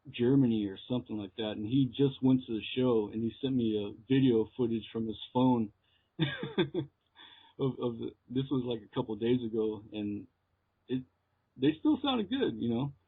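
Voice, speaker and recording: A man's voice: medium pitch, animated, moderate pace, breathy edge. American man on a tinny phone line. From Texas, United States. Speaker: interviewed metal musician. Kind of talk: casual chat in an interview. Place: remote call.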